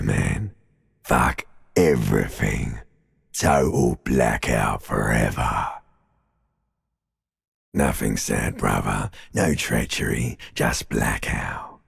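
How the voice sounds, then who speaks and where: Abyssal, gravel-throated primal brain. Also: male voice, rumbling low, exaggerated voice. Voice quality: gravelly, very raspy, guttural. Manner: slow, drawn-out, menacing, mocking. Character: ancient reptilian brain, primal, nihilistic, taunting inner voice. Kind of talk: theatrical video game voice acting.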